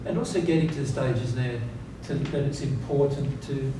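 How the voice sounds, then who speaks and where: A man's voice: medium pitch, moderate pace. Male seminar teacher in a very echoey room. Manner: emphatic, repeats key words, checks for understanding. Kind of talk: informal teaching to an audience, rhetorical questions. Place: reverberant seminar room with audience. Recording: very echoey, noisy room, distant mic.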